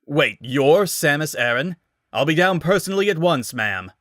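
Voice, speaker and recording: A man voicing a wary, excitable male scientist who talks in a loud, demanding, urgent way in a dramatic story reading. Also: on the high side, normal speed, dry recording, studio quality, pitched above the performer's own voice. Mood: excited.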